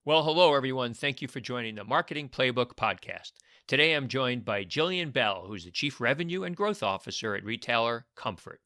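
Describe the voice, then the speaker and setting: Guy hosting a marketing podcast, bright forceful voice, upbeat, some uhs. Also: mid-range voice, brisk, few pauses. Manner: upbeat, energetic introductions, hesitant fillers in chat. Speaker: podcast host. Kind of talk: scripted intro then casual interview.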